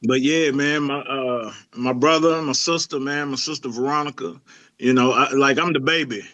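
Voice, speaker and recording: A man's voice: medium pitch, moderate pace, pushed and bright, dry recording, lo-fi sound. A middle-aged man, a youth pastor, talking casually in an interview, laid-back, with many fillers and restarts. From Lebanon.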